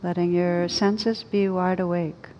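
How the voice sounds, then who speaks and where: Low, even-toned woman guiding meditation. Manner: gentle, soothing, unhurried instructions with long pauses. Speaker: meditation teacher. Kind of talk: slow guided meditation. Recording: dull lo-fi recording with rumbling background noise.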